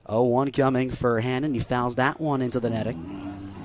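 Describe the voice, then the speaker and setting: A young American man doing live radio baseball play-by-play, lifting into excited calls on big plays. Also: medium pitch, normal speed. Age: young adult.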